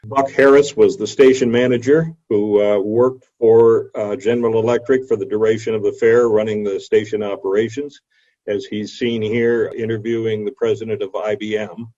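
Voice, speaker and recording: A man giving an illustrated history lecture over a video call, explaining in a measured way with frequent hesitant pauses. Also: lowish voice, dark tone. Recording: dull, lo-fi video call audio.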